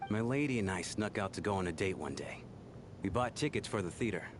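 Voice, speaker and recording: An actor playing the remorseful ghost of a devoted bodyguard in a video game, earnest, wistful and self-effacing. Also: male voice, deep voice, expressive, a little fast, noisy background. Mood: sorrowful recounting. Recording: game music behind the voice.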